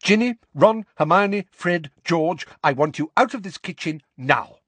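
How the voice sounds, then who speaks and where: Man playing a middle-aged mother, high-pitched, indignant, protective, wildly swooping, storybook character. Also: big pitch swings, unhurried, no room sound, full character voice. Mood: cracking, stern. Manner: indignant, shrill when angry.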